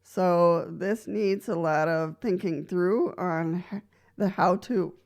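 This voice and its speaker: A woman's voice: on the low side, takes it slowly, darkish tone. Chuckling woman giving casual wheelchair advice. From Costa Rica. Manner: chuckles often between points, many "so" restarts. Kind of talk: casual explanatory vlog talk.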